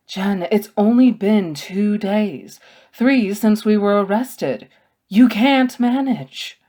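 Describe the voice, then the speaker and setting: An earnest, protective male scholar from a storybook , acted out by a woman reader. He admonishes slowly and with care. His worry shows in a stern, pleading manner. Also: medium pitch, expressive, dark tone, pitched above the performer's own voice.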